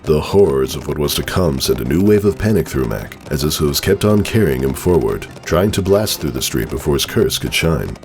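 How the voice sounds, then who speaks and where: A man's voice: extremely low pitch, animated, moderate pace, few pauses, a little mellow. A man giving a dramatic storybook narration of a fan fiction tale.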